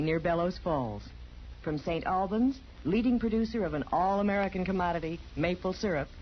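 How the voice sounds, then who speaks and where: Casting: female radio announcer, lowish animated voice, scripted narration, dull vintage recording. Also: slight background noise.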